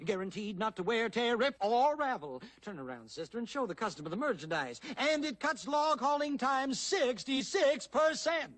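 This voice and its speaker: An actor voicing a debonair, smooth-talking male stray dog in a 1950s animated film, with playful salesman patter. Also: very high voice, normal speed, few pauses, exaggerated voice. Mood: salesy. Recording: film clip with music behind.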